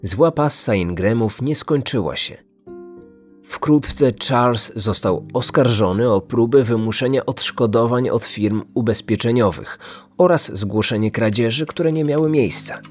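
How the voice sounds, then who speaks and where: A man's voice: medium pitch, unhurried, a little mellow, faint music behind. A man reading scripted narration as the host of a true crime podcast.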